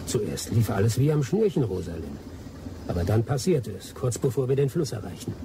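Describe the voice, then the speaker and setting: Over clearly audible outdoor ambience, a bitter, vengeful adventurer of middling pitch. His delivery is dramatic and emphatic, in the manner of a radio play. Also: big pitch swings, normal speed, noisy background. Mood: bitter recounting. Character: bitter, vengeful adventurer Anthony, a betrayed Englishman. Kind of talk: German radio play acting, dramatic storytelling.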